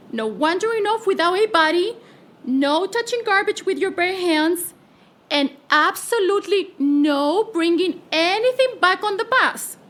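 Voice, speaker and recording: Very high-pitched, chirpy schoolteacher. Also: female voice, heavily acted. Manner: bright, encouraging, instructing. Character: chirpy, upbeat female schoolteacher leading a field trip.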